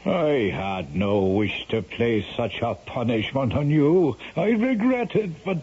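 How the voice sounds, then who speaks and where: An actor playing a proud, guilt-haunted middle-aged Irish nobleman with an excitable, theatrical lilt and an Irish accent in a radio drama. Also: medium pitch, slow, slightly rough, heavily acted. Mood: defensive. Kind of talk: 1970s radio drama acting. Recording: muffled old radio with faint hiss.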